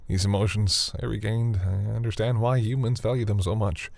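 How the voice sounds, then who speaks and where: A man playing a tender, bashful centuries-old vampire in an intimate audio roleplay, speaking formally and hesitantly in a deep, husky voice. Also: fairly even intonation, darkish tone, no echo. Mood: loving, grateful. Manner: hesitant, halting, earnest, formal wording.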